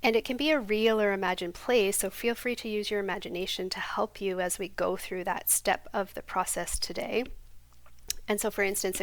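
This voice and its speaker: Soft-spoken woman meditation guide. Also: medium pitch, no room sound. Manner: slow, soft and soothing, sometimes half-whispered. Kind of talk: guided yoga nidra meditation.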